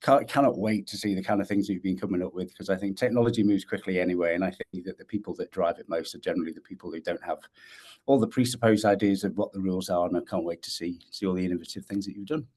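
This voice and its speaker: A man in his forties with a low voice talks quickly and eagerly as a judge on a video call. He comes across as brisk and keen. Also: steady tone, a little mellow. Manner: brisk and enthusiastic. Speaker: competition judge, tech team lead. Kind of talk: casual introduction on a video call.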